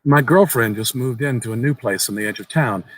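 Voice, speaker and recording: A man's voice: medium pitch, brisk, close to an everyday voice. A man playing a skeptical, curious young man who humors and questions, slightly uneasy, in a horror story reading. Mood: easygoing.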